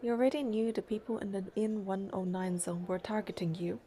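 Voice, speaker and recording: A woman's voice: mid-range voice, fairly even intonation, fairly quick, dark tone, lightly acted. A woman voicing a practical, skeptical young heroine in an English-dubbed game, dry and matter-of-fact as she narrates and talks. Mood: measured, curious.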